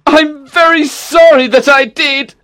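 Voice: sits high, fairly even intonation, slow pace, a bit bright. A performer voicing a desperate, pleading father in a storybook, with anguished, emphatic delivery. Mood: distressed.

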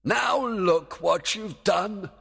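A man voicing a commanding, excitable fairy king in a storybook, emphatic and theatrical. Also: fairly high pitch, unhurried, breathy edge, big-room sound, voice pushed up, exaggerated voice. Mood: angry, commanding. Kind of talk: storybook character voice.

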